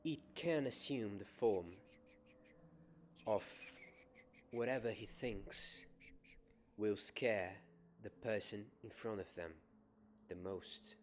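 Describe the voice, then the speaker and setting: A young man playing a gentle wizard professor in an ASMR roleplay, soft-spoken and often whispering, measured and encouraging. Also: lowish voice, a little hiss or hum. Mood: calm, encouraging. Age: young adult. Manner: measured, encouraging teacher, repeating words softly. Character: gentle wizard professor teaching a magic class.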